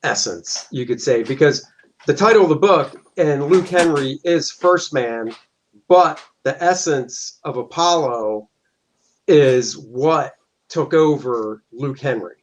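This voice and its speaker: A man's voice: a little high, slow, rough recording. A middle-aged man, a comic book artist, answering interview questions in an easygoing way and explaining at length.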